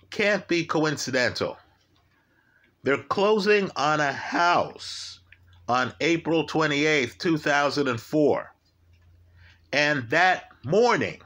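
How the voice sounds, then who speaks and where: Slow, emphatic male crime commentator. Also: a little high, a bit bright. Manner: slow, emphatic, repeats key facts and asks "right?". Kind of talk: true crime case commentary.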